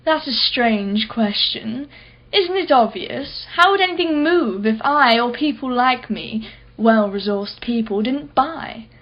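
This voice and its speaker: A woman's voice: fairly high pitch, expressive, slow, lo-fi sound, exaggerated voice. An actress playing a wealthy, condescending and sardonic female art collector in a radio play. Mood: self-satisfied. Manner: condescending, mocking, self-satisfied.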